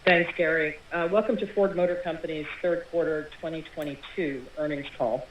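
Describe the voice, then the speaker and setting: Dull-sounding corporate woman reading, low-pitched. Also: fairly even intonation, moderate pace. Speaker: investor relations executive. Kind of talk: formal scripted corporate reading. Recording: dull, faintly noisy conference call playback.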